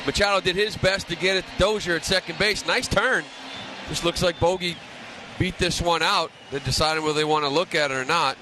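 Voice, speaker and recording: Fast-talking male baseball analyst, noisy ballpark. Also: on the high side. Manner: colloquial, uses player nicknames and slang. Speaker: color analyst. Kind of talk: informal baseball color commentary. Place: ballpark broadcast booth. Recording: radio broadcast with loud ballpark crowd noise.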